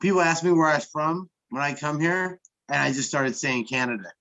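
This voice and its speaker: A man's voice: a little high, moderate pace, fairly bright tone. A laid-back man from California chats on a video call, loose and slangy. His talk comes in easy, offhand bursts full of casual swearing. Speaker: host.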